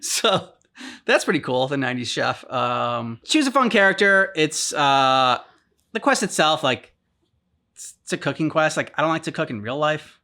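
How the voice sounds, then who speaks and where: A man's voice: fairly high pitch, animated, moderate pace, pushed and bright. A man co-hosting a reaction show in a casual, joking, rambling way.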